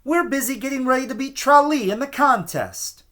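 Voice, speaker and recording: A man's voice: very high voice, moderate pace, fairly bright tone, pitched above the performer's own voice. A man doing an exasperated glue-covered townswoman. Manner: exasperated, raised voice.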